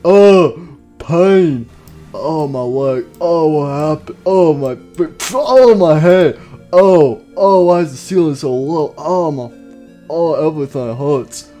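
A childlike male animatronic bear in a cartoon, dim and melodramatic. He drags his words slowly in a muffled, mellow tone and tends to wail like a big baby. Faint music plays behind him. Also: mid-range voice, lively intonation. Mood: dazed, in pain. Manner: slow, simple-minded speech with wailing outbursts.

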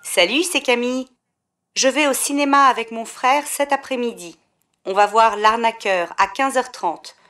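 A woman reading a short phone message for a textbook listening exercise, friendly and clearly paced. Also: fairly high pitch, a bit bright.

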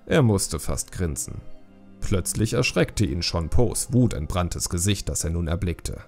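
A male narrator reads a fantasy audiobook with dramatic flair. His voice is on the low side and creaky, moving widely in pitch. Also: lively intonation, unhurried, darkish tone, soft background music.

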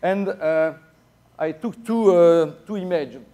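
A man in his forties with a Belgian French accent, giving a conference talk on a dark stage with many fillers and restarts. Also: on the high side, slow. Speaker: keynote speaker. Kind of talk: conference presentation.

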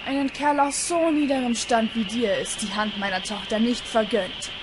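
Woman acting a scheming king over clear noise. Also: fairly high pitch, moderate pace, lightly acted. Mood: gloating, menacing. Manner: theatrical, menacing. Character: scheming, haughty villain king in a stage play.

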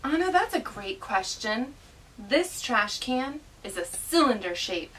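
Woman, children's read-aloud presenter. High, very lively, unhurried delivery; picked up from a distance with faint noise. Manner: animated, exaggerated, child-directed delivery. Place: small indoor classroom inside a mobile learning vehicle.

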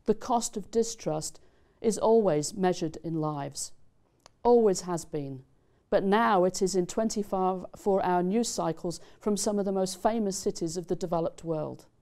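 A middle-aged woman delivering formal commencement remarks as a dean in a studio, speaking in a measured, deliberate way. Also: fairly low pitch, mellow. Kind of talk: formal prepared ceremonial speech. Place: studio set with screens, indoors.

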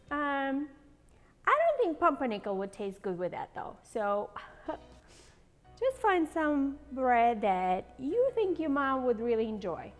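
Woman in her 40s, bright kitchen host, put-on Southern accent, voice a touch high, reverberant room with light music underneath. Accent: playful put-on Southern US. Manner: chatty, bubbly, laughs often. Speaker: cooking show host. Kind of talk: cooking demonstration to camera. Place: large bright home kitchen.

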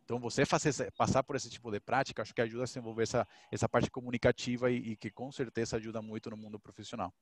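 A man's voice: mid-range voice, flat delivery, rapid-fire, hardly any pauses, dry recording. A man in his thirties, an engineer, talking through a headset on a video call panel, rambling with many restarts. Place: indoors, home office with shelves.

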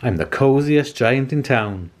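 A man's voice: medium pitch, animated, unhurried, voice pushed up, close to an everyday voice. Man playing a cheery, kindly giant. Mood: delighted. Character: kindly, cheerful giant George, singing to himself.

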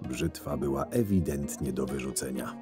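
A man playing a cynical, scheming camp henchman in an audio drama, narrating his days in a dry, measured, sardonic way. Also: extremely low pitch. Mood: dry, brooding. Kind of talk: first-person scripted narration. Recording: music under the voice. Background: background music.

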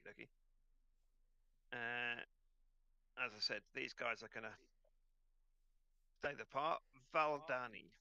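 A man narrating an online tabletop game as game master in a hesitant, halting way. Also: mid-range voice, sharp tone, smooth, no echo, lo-fi sound.

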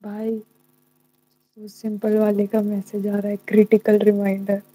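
Young woman, slow talker, teasing, earphone mic, home live stream. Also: mid-range voice, monotone, mellow, slightly rough, poor audio. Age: young adult. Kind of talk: casual live chat. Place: indoors at home, bright room. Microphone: wired earphone mic on a phone.

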